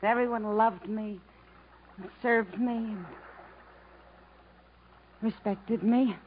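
A woman's voice: medium pitch, steady tone, slow pace, lightly acted. An actress playing a wistful, wounded woman who yearns for self-respect, with plaintive, searching phrasing in 1950s radio drama style. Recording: muffled, noisy 1950s radio recording.

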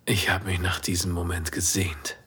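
Man playing a very deep-voiced seductive lover. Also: flat delivery, normal speed, sharp tone, slightly rough, full character voice. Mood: seductive, admiring. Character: adoring male employee and secret lover, seductive. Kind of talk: erotic audio drama dialogue.

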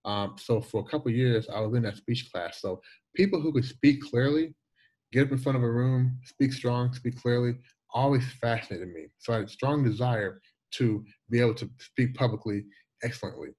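Brief: Jamaican-accented man, 40s, husky, slight lisp, animated storyteller who restarts his words. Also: medium pitch, normal speed, poor audio. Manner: animated, repeats words and restarts, laughs easily. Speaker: guest. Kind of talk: conversational storytelling interview.

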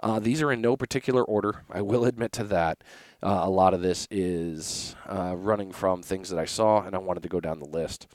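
A man hosting a casual solo podcast, a bit raspy from a recent illness, talking in a laid-back, rambling way with lots of uhs. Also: low voice, moderate pace, darkish tone, dry recording. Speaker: podcast host.

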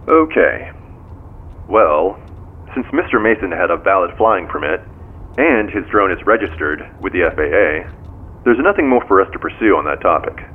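An actor playing a composed, probing American police detective in an audio drama, speaking formally and in measured phrases over a phone. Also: male voice, sits low, big pitch swings. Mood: formal, pressing. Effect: phone call. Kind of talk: modern audio drama dialogue. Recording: tinny phone line with background noise.

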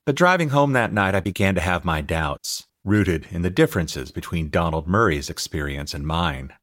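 A middle-aged American man reading his own nonfiction audiobook in a measured, reflective way with deliberate phrasing. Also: low-pitched, lively intonation, a bit bright. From California, United States. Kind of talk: scripted audiobook narration.

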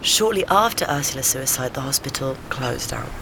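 An actress playing an eager amateur sleuth in an audio drama, talking through theories in an animated, insistent, conversational way. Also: female voice, rumbling low, moderate pace, full character voice. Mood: intrigued. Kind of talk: naturalistic audio drama dialogue. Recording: clear background ambience noise.

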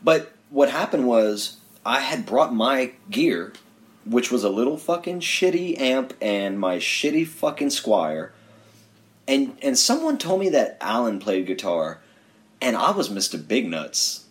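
A man chats loosely and swears freely, teasing his friend and poking fun at his own past. His voice sits at an ordinary middle pitch. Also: big pitch swings, a little slow. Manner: teasing, swearing, self-mocking storyteller. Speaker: podcast guest. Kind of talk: casual profane chat.

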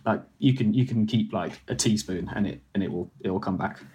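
A man's voice: fairly low pitch, fairly quick, warm tone, highs cut off, slight background noise. A young man, a food editor and ex-baker, explaining casually with lots of "like" and restarts. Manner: rambling, hedging with "like" and restarts.

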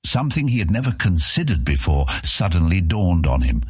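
A man's voice: low-pitched, big pitch swings, brisk, breathy edge, no room sound, clean studio sound. A man narrating an epic fantasy story as a scripted audiobook, with dramatic storytelling delivery.

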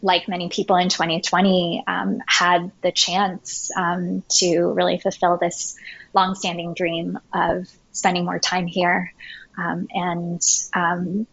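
A woman from the United States, a podcast guest, talking in a friendly, earnest way with many ums and pauses. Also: fairly low pitch, monotone, fairly bright tone, breathy edge, no echo, dull audio. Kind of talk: casual interview talk.

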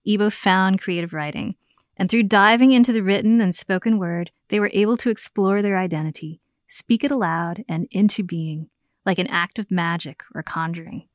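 An American woman speaks as a podcast host and narrator. Her delivery moves between prepared narration and conversation, at a middling pitch. The sound is close and dry, with very little room around it. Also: moderate pace, no room sound. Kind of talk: scripted narration mixed with conversational interviewing.

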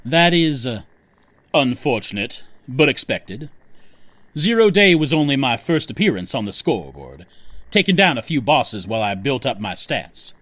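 An actor playing a pompous, wordy rebel leader with big schemes in an audio drama. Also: male voice, medium pitch, big pitch swings, moderate pace, a bit bright, no room sound. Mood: grandiose. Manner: pompous, wordy, mixed metaphors. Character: grandiose male rebel boss, theatrical schemer.

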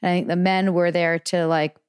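A woman's voice: on the low side, little pitch movement, fairly quick, smooth, dry recording, studio quality. A woman co-hosting a podcast in casual conversation, reflective and hedging as she talks. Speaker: podcast co-host.

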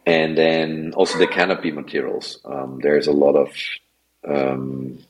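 A man's voice: rumbling low, flat delivery, a little slow, highs cut off. A man in his forties with an Austrian accent, a guest on a podcast interview, talking casually with many fillers and restarts. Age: 40s. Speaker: guest, wingfoil tour director.

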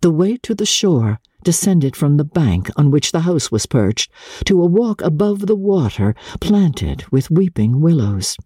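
A woman narrating classic literature in a measured, theatrical way, with deliberate pauses between phrases. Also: low-pitched, big pitch swings, warm tone, breathy edge, no echo, clean studio sound. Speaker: narrator, audiobook reader.

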